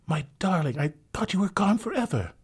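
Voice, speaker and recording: Brief: man playing an anxious young gentleman, raised pitch, loud, pleading. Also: sing-song, a little fast, breathy edge, exaggerated voice. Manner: breathless, pleading, turns snarling. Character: anxious young male suitor, fervent.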